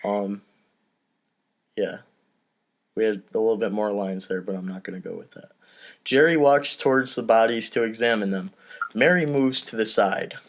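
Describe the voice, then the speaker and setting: A man's voice: on the low side, moderate pace, long pauses. A man hosting and narrating a comedy table read in a casual, self-deprecating way with informal asides.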